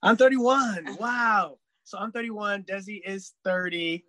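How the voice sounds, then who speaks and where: A man in his thirties, a guest in a casual podcast chat, telling stories in an animated way and laughing often. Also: high-pitched, takes it slowly, a crowd in the background. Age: 30s.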